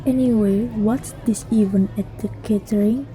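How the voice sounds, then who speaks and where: A woman playing a sly, cruel female bully in a student radio drama, with slow, stilted delivery. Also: mid-range voice, steady tone, dark tone, close to an everyday voice, low-quality recording. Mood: feigned politeness.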